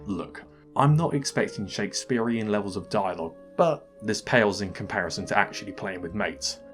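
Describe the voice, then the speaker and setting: A man's voice: fairly low pitch, brisk, soft background music. A man hosting a scripted comedic video game review, narrating in a dry, wry manner.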